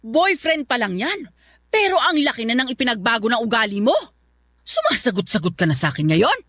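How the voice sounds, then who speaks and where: A woman's voice: fairly high pitch, sing-song, a little fast, fairly bright tone, no echo, studio quality. A woman with a Filipino accent playing a stern, bitter middle-aged mother in a radio drama, scolding and domineering. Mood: angry scolding. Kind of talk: Tagalog radio drama acting.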